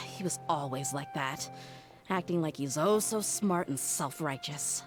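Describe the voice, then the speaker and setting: An actress playing a tough, blunt young mercenary, a wounded and exasperated daughter, in a video game. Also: female voice, low voice, unhurried, music in the background. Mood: bitter. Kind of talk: video game character acting.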